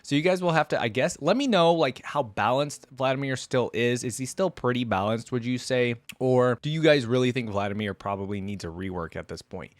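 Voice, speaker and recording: A man with a mid-range voice hosts in a casual, off-the-cuff way. He chats informally and thinks out loud as he reacts. The sound is dry, with no room on it. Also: mid-range voice, a little fast, hardly any pauses, dry recording. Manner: informal, chatty, thinks aloud. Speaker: host of a reaction video.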